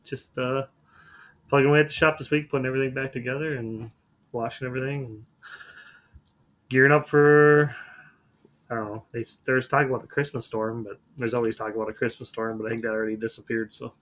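An easygoing male podcast co-host who rambles through his week in a mid-pitched voice. He often trails off his sentences with a loose "so.". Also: flat delivery, brisk, fairly bright tone. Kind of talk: casual chat.

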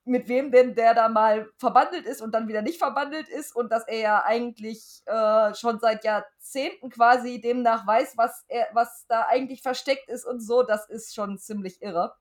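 A woman's voice: on the high side, steady tone, normal speed, hardly any pauses. A woman talks casually as a podcast co-host. She is colloquial and blunt, giving her opinions freely in a conversational review style. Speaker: podcast co-host discussing an audio drama.

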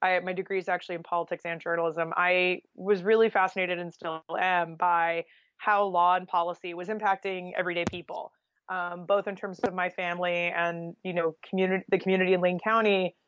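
A woman's voice: lowish voice, little pitch movement, fairly quick, a bit bright, no echo. Woman, American accent, chatty interview guest, casual storytelling, dry close sound, slightly dull recording. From Oregon, United States.

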